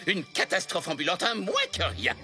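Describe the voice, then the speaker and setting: An actor playing a haughty, exasperated colonial governor in a cartoon, with a scornful, theatrical delivery. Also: male voice, high-pitched, animated, moderate pace, over music. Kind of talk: animated series dubbing.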